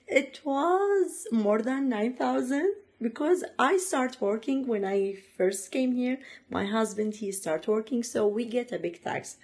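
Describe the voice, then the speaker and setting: Slow, halting woman from Iraq. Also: fairly high pitch, a little mellow. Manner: halting, many fillers and restarts, second-language phrasing. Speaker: interviewee. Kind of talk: personal story, casual.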